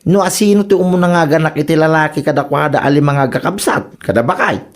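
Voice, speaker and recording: A woman acting an excitable, teasing spouse eager for a son in a radio comedy drama. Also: deep voice, quick pace, close to an everyday voice. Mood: boastful, eager.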